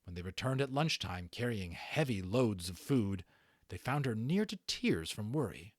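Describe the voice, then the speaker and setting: Expressive man narrating a story. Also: on the low side, normal speed, no echo, studio quality. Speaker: amateur book narrator. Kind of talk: dramatic audiobook narration.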